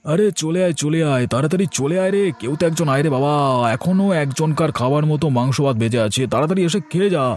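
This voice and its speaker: A man's voice: a little high, quick pace, hardly any pauses, a little mellow, a little hiss or hum, natural voice. A man playing a jittery, chatty food seller in a horror cartoon, stammering and wheedling. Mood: eager, hospitable.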